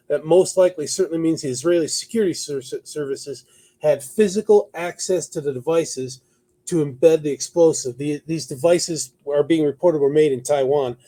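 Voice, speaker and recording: A man hosting a news commentary talk show, moving between reading notes and conversational remarks, halting at times and repeating words for emphasis. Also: fairly high pitch, mellow.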